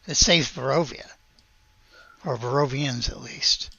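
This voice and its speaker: An earnest, pleasant vineyard man, acted out by a male game master, speaking in halting, unhurried phrases. His voice is creaky and forward, with a deadpan streak. The recording is close and dry, a little dull, from a tabletop game. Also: mid-range voice, no room sound. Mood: overjoyed, halting. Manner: hesitant, halting speech.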